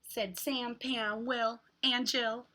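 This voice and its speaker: A woman's voice: fairly high pitch, slow pace. A woman reading a picture book aloud for young children, with exaggerated, dramatic emphasis on words. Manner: exaggerated, animated delivery with dramatic word emphasis. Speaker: children's read-aloud host.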